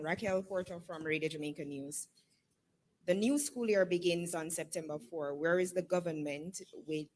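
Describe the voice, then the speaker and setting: A woman, a radio news reporter, asking a brisk, businesslike question at a press conference. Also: low-pitched, darkish tone, slight background noise.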